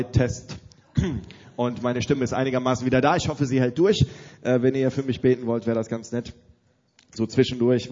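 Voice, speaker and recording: A man's voice: mid-range voice, a little mellow, highs cut off. A man with a cold, energetically addressing a conference audience and giving them instructions. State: has a cold, slightly strained voice. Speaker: conference host for youth leaders. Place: large conference hall with audience.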